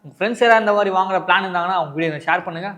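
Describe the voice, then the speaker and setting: A man's voice: high voice, racing, a bit bright. A man with an Indian Tamil accent presenting an unboxing and product review, explaining directly to viewers and mixing in English words.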